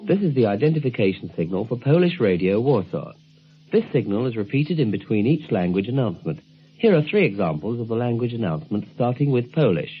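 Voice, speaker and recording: A man presenting a radio programme, giving measured, informative scripted narration. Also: mid-range voice, very animated, soft background music. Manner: measured, informative announcer delivery. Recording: tinny narrow-band 1970s shortwave broadcast recording.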